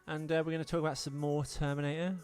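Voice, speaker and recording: A man's voice: on the high side, flat delivery, fast talker, a little mellow, soft background music. A British man co-hosting a podcast, talking casually. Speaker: podcast co-host. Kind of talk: casual chat and podcast sign-off.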